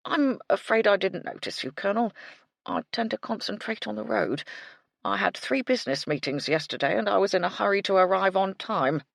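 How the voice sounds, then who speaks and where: A woman voicing a nervous, fussy man with a thin, soft voice, speaking in an anxious, prim way. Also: medium pitch, a little fast, creaky, no echo, clean studio sound, pitched above the performer's own voice. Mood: polite.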